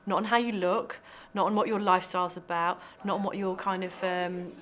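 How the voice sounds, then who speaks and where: A woman's voice: lowish voice, fairly even intonation, fairly quick, a bit bright. A woman workshop organiser explaining activities in an interview. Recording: clear crowd chatter behind.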